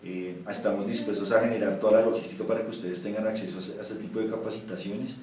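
A man's voice: fairly low pitch, steady tone, quick pace, a little mellow, a little hiss or hum. A man in his thirties, a municipal official, answering interview questions with many restarts and fillers in an office. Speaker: municipal ombudsman. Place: office with window blinds. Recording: echoey office from far off.

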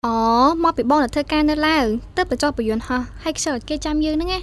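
Woman acting a high-voiced, very quick travel journalist. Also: natural voice. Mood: casual, chatty. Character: young female travel journalist, reserved but helpful. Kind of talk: radio drama acting.

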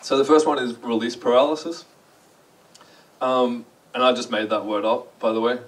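A young man with an Australian accent talks casually to a conference crowd, joking at his own expense and asking questions. His voice sits at a middle pitch. The recording places him a little back from the mic in a large dark lecture hall. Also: steady tone, normal speed, distant mic. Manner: chatty, self-deprecating, asks the audience questions. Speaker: conference speaker.

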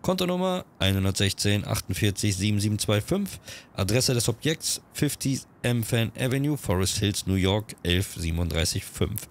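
A man with a cold, chatting quickly through casual gameplay commentary and reading on-screen text aloud. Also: lowish voice. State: has a cold. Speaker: let's-play gamer.

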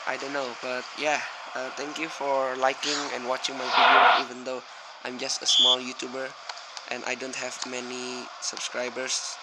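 A young man from Indonesia commentating a mobile soccer game at home, rambling and easily distracted, bursting out loud at big plays. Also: medium pitch, completely flat delivery, normal speed. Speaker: small gaming YouTuber. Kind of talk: casual mobile gameplay commentary. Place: at home with fireworks outside. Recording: loud background noise, clicks. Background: fireworks bangs from the neighborhood.

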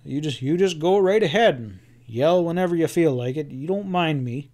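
A man voicing a kindly, awkward rancher with a folksy rural drawl, gruff and reassuring. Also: fairly high pitch, expressive, moderate pace, a little mellow, lightly acted.

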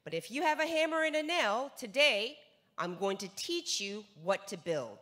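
A woman's voice: a little high, big pitch swings, normal speed, fairly bright tone. A woman in her forties with a North American accent gives an emphatic sales lecture on stage into a handheld mic, repeating words for stress and asking rhetorical questions. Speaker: business seminar speaker. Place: large stage in a hall. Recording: long hall reverb.

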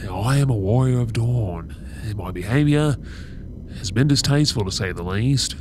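A man playing a solemn, remorseful warrior knight captain in a fantasy audio drama, speaking formally and earnestly in measured phrases. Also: medium pitch, darkish tone, slight background noise, lightly acted. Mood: solemn, grateful. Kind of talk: anime fantasy audio roleplay acting.

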